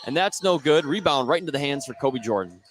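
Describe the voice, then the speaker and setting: A man's voice: on the high side, animated, fast. American man calling basketball over gym noise. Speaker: play-by-play sports announcer. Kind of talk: live basketball play-by-play commentary. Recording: clear gym noise, bouncing basketballs behind. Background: basketball game in a gym.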